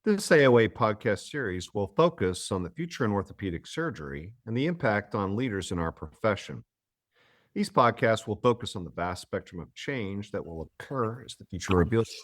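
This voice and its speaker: A man hosts a medical podcast. He reads a formal introduction in a measured way, then moves into conversation. The sound is close and dry. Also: on the low side, sing-song, no room sound. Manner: formal, reads a long guest biography. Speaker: podcast host. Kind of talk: scripted introduction and interview.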